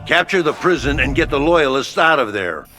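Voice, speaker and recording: A man's voice: a little high, lively intonation, moderate pace, close to an everyday voice. A man playing a brash, plain-spoken HQ officer in a video game, briefing in a blunt, cocky, colloquial way. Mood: blunt, urgent. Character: brash, plain-spoken male military HQ officer, gung-ho and slangy. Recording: background music under the voice.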